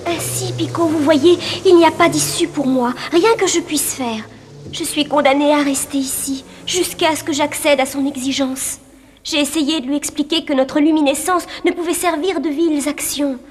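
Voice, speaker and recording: A woman voicing a gentle, sorrowful young spirit princess in a fairy-tale cartoon, wistful and melodramatic. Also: sits high, steady tone, moderate pace, faint music behind, lightly acted.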